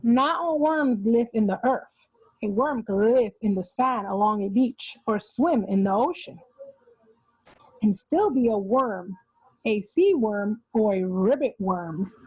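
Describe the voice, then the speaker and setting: Husky, slow-reading woman, close and dry. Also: medium pitch, expressive, darkish tone, no room sound, low-quality recording. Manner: explanatory, pausing to point out pictures. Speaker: read-aloud host for children. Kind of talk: reading a children's nonfiction book aloud.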